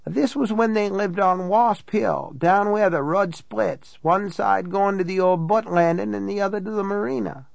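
A man's voice: high voice, normal speed, vocal fry, hardly any pauses, dry recording, dull audio, pitched above the performer's own voice. A man voicing an older small-town housekeeper woman from an audiobook, blunt, gossipy and matter-of-fact. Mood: gossipy relish. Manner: folksy, matter-of-fact storytelling. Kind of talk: audiobook character dialogue.

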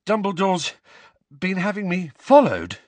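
A man's voice: sits high, wildly swooping pitch, normal speed, fairly bright tone, no echo, pitched above the performer's own voice, full character voice. A man voicing a protesting teenage boy in a storybook, urgent and questioning. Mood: breathless, questioning.

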